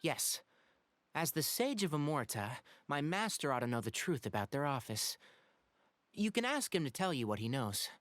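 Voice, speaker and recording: Boyish forest ranger for a game, brisk, responsible, animated pitch, decisive. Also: male voice, dry recording. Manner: polite, precise, decisive. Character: youthful, level-headed male forest watcher, brisk and responsible. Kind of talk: video game voice acting.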